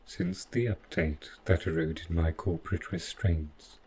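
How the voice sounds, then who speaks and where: A man playing a devoted, possessive robot butler in an audio roleplay, speaking in a formal, measured, precise way with halting phrases. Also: deep voice, steady tone, dark tone, breathy edge. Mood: clinical, insistent. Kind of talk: scripted roleplay audio drama monologue.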